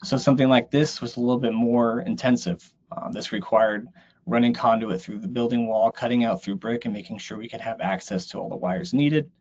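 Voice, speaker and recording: A man's voice: mid-range voice, steady tone, fairly quick, darkish tone. A man giving an explanatory slide presentation in a webinar. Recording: dull, lo-fi online audio.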